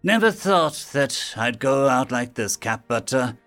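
A man voicing an excitable, fearful otter astronaut in an audio story. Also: medium pitch, unhurried, faint music behind. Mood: awed nerves. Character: excitable, fearful young-spirited male otter astronaut crewmate.

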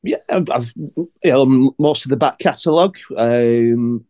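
Man, average pitch, casual conversational style, music podcast panellist. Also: measured pace, darkish tone. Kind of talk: casual chat.

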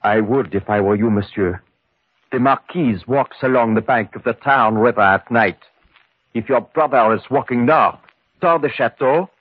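A man's voice: lowish voice, slightly rough, dry recording. An actor playing a grave, superstitious Frenchman with a French accent, telling ominous tales in old radio horror style. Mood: grave warning. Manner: ominous, deliberate storytelling. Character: grave, superstitious French villager warning of a vampire. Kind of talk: 1940s-50s radio horror acting. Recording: muffled old radio broadcast.

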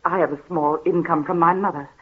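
An actress playing a frightened, tormented heiress in a 1930s gothic radio mystery, with halting, dramatic delivery. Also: female voice, lowish voice, steady tone, slightly rough. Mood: anguished, confessing. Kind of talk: 1930s old time radio acting. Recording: muffled, scratchy 1930s radio recording.

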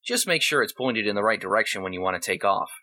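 A man's voice: on the low side, expressive, fast talker, pushed and bright, heavily acted. A man voicing a huge, aggravated male tiger with a dry, grumbling manner in a story reading.

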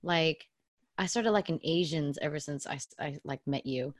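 A woman's voice: deep voice, normal speed, no room sound. Woman, twenties, casual storytelling, blunt, heavy slang, free swearing, dry close sound. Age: 20s.

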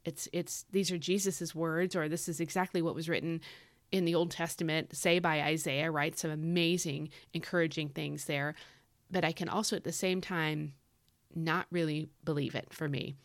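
Scratchy, low-voiced woman podcast host. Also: steady tone, moderate pace, dry recording, clean studio sound. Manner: earnest, chatty, frequent "um" fillers. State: voice tired from overuse. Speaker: devotional podcast host and author. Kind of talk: casual devotional podcast talk.

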